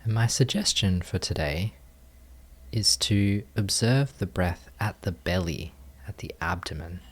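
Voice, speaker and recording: A man leading a guided meditation, giving gentle, calm instructions in measured phrases with long pauses. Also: deep voice. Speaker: meditation teacher.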